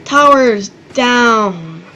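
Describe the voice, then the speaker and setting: A woman hosting her own channel, giving loose, excitable commentary on a strategy game battle, rambling and swearing now and then. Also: on the high side, extremely slow, soft background music, poor audio. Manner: rambling and excitable, swears, does silly voices. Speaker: gaming channel host.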